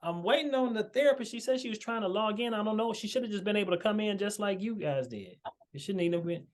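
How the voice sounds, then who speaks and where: A man's voice: high voice, lively intonation. Earnest man hosting over a video call. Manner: earnest, halting, many fillers and restarts. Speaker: podcast host. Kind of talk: casual video-call chat.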